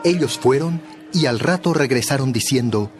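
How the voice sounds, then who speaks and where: A man narrating a dramatized Bible story in a measured, expressive storytelling style. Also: medium pitch, music in the background.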